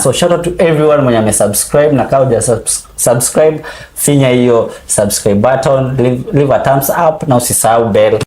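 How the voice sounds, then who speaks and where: A man's voice: mid-range voice, darkish tone, a little hiss or hum. A man with a Kenyan accent hosting an interview show in a playful, casual way, switching between Swahili slang and English. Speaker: show host. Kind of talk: casual chat.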